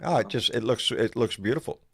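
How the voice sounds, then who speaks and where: A man's voice: mid-range voice, brisk, creaky, a crowd in the background. Folksy man hosting a live stream. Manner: folksy, drawling, warm thanks to donors. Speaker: host. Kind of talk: casual live-stream chat.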